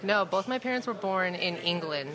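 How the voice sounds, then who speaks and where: Relaxed, chatty woman guest. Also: lowish voice, moderate pace, low-quality recording. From Chicago. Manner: relaxed, chatty, laughs easily. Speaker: guest photographer. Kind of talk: casual interview. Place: busy restaurant. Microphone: broadcast mic on stand. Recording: crowd chatter behind. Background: restaurant crowd chatter.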